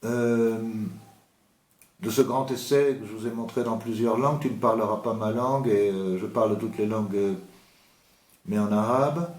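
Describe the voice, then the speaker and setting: A man giving an unscripted literary talk about an author as the host, slow and halting, with many hesitations and restarts. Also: lowish voice, darkish tone, echoey room.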